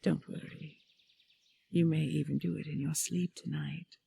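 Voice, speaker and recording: A woman playing a regal queen and tender lover in a romantic fantasy audio roleplay, breathy and often whispering, with lingering hums and pauses. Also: sits low, steady tone, mellow, heavily acted. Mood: awed, soothing.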